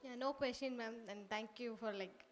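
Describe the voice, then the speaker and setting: A woman's voice: a little high, normal speed. A woman speaking for a conference organizing committee, politely and formally thanking the speakers.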